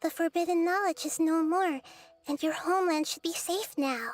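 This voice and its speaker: A very high, soft childlike voice belongs to a kind young goddess. She speaks gently and reassuringly, quick to apologize. Soft game music runs beneath the dubbed dialogue. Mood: gentle, reassuring. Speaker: game character.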